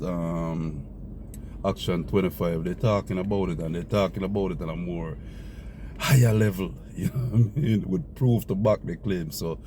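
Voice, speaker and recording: A man's voice: low-pitched, dark tone. A man talking casually to his viewers while driving, rambling and repeating himself. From Jamaica. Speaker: vlogger. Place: car in the city. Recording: noisy car recording with traffic.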